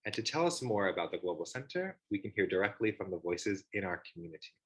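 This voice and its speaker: A man's voice: sits low, big pitch swings, quick pace, slightly rough. A man with an upbeat, enthusiastic manner, hosting an online panel discussion over a video call. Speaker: host, organization founder.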